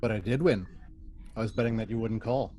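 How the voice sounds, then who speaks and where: A man's voice: on the low side, a little fast, dark tone, lightly acted. A man playing a brash, confident starship officer dealing poker in an amateur sci-fi script reading. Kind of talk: amateur table read of TV script.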